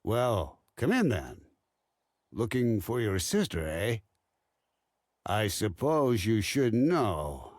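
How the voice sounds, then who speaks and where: An actor playing a gruff, wary townsman in a fantasy game, husky and gravelly. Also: lowish voice, big pitch swings, slow pace, pauses often, darkish tone, no room sound, studio quality. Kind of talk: fantasy game cutscene acting.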